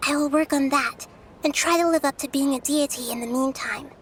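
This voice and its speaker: A woman as a high-voiced young girl deity, gently wise. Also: slight background noise, lightly acted. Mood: thoughtful, serious. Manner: measured, thoughtful, formal phrasing. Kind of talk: scripted video game character dialogue.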